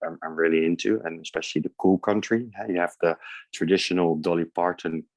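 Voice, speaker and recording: A man's voice: low voice, steady tone, brisk, a little mellow, dry recording. A man from the Netherlands, a podcast guest answering in a friendly, hesitant way with many fillers. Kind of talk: casual interview answers.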